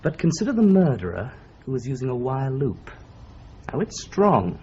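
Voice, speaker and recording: A relishing, teasing police doctor whose voice swoops up and down as he lays out a murder method. His voice is of middle pitch and sounds slightly muffled, as on an old radio drama recording. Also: normal speed, a little hiss or hum. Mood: explaining. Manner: lively, teasing, demonstrative.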